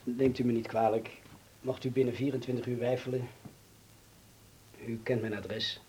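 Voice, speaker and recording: An actor in a radio drama playing a grave, formal wartime visitor who speaks in a measured, darkly wry way. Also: male voice, level tone, background noise, lightly acted. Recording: rumbly old radio play recording.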